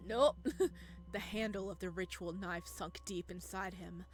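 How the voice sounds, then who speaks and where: A woman reading a visual novel aloud with dramatic narration and exclamatory, spontaneous reactions. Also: on the low side. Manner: expressive, exclamatory. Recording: game music behind the voice. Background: game music.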